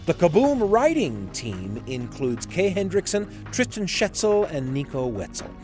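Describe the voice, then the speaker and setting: A man's voice: a little high, sing-song, unhurried, darkish tone, soft background music. A man hosting a podcast with friendly, enthusiastic scripted narration. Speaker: podcast host.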